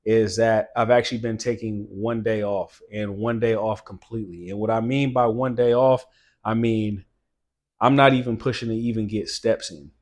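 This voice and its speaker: A man in his late thirties speaks as a fitness channel host in a motivational style. His voice sits at an average pitch for a man. He is earnest and emphatic, often repeating a phrase to drive it home. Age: late 30s. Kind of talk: motivational talk to camera.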